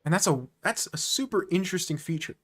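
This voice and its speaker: A man's voice: high voice, animated, fast, fairly bright tone, breathy edge. A man streaming live and chatting casually with viewers, rambling and correcting himself mid-sentence with nerdy enthusiasm. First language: English.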